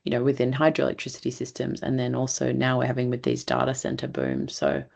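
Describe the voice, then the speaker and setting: Very deep, gravelly woman on a call. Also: fast talker, a little mellow. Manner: reflective, thinking aloud with fillers. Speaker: webinar participant. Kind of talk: webinar discussion. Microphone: video call.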